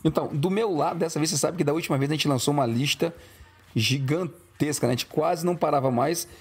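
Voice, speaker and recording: A man's voice: a little high, fast, breathy edge. Man chatting as podcast co-host. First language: Portuguese. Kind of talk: casual chat.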